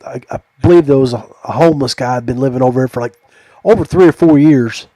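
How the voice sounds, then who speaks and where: A man's voice: mid-range voice, quick pace, mellow, dry recording. An American man hosting a podcast in a laid-back, conversational way. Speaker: podcast host. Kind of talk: casual chat.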